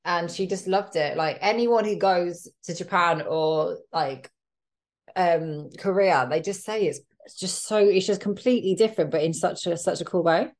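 A playful woman asks questions in a loose, slangy way. Her voice sits somewhat low for a woman, and she keeps the talk relaxed. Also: moderate pace. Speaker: interviewer. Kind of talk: casual interview chat.

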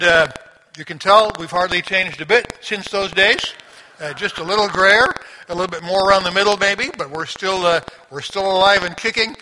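Bright-voiced older man in a reverberant hall. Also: high-pitched, a little hiss or hum. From grew up in Sri Lanka. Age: 60s. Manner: conversational, storytelling. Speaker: missionary and visiting church speaker. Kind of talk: informal church address to a congregation. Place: large church room, speaking through a microphone.

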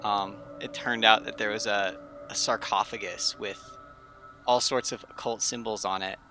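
Bright-voiced man playing a tabletop game. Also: fairly low pitch, steady tone, moderate pace, soft background music. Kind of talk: casual improvised storytelling.

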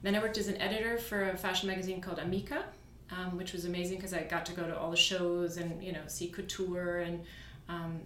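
A woman's voice: lowish voice, flat delivery, a little fast, a bit bright, echoey room, slight background noise. A woman from Virginia in the United States, a podcast guest chatting casually and easily, with many fillers and restarts. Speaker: podcast guest, beauty brand co-founder. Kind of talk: casual interview chat. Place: studio.

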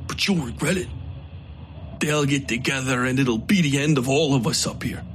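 A man voicing a gut-shot, feverish, bigoted man who talks in coarse folksy grammar, in a dark audio story. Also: mid-range voice, normal speed, slight background noise, close to an everyday voice. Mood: urgent.

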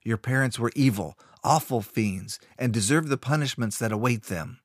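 A man's voice: mid-range voice, normal speed, no echo, studio quality, natural voice. A man voicing a sinister, menacing rune master. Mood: cold commanding. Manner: smooth and controlled with a threatening edge.

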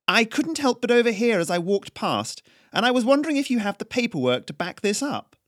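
A man voicing a confident, strident noblewoman who speaks with brisk authority. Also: fairly high pitch, very animated, no echo, studio quality, pitched above the performer's own voice. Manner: strident, forceful and proper.

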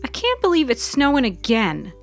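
A woman voicing a lively young female student who grumbles and teases, in a wry, expressive way for an audio story. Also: high voice, moderate pace, faint music behind, pitched above the performer's own voice, full character voice. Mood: exasperated.

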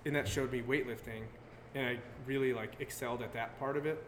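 A man in his thirties, a guest artist, talking loosely about his work and sports, heavy on filler words and quick laughs. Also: medium pitch, fairly even intonation. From Connecticut. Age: 30s. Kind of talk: casual podcast chat. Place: brewery taproom. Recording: roomy live venue with loud background noise.

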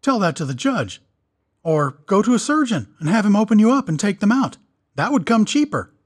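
A man's voice: high-pitched, big pitch swings, a little fast, voice pushed up. A man voicing a sly, dry-witted doctor who speaks in a purring, wry way. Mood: cool. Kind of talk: audiobook character voice.

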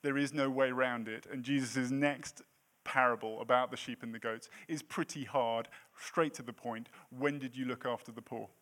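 A man's voice: medium pitch, steady tone, moderate pace, few pauses, a bit bright. A man in his late thirties preaching a sermon in a church, with a self-deprecating, jokey manner between points. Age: 30s. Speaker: pastor.

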